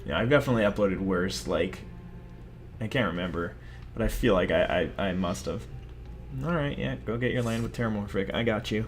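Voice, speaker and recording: A man's voice: lowish voice, fairly quick, soft background music. A young man giving rambling, self-mocking commentary over his own card game, talking casually to viewers. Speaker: gaming video host.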